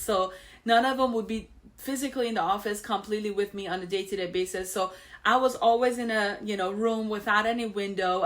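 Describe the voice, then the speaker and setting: A woman's voice: mid-range voice, a bit bright. Woman host, 30s, Iranian accent, candid personal monologue. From Iran. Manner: candid, with frequent fillers and restarts.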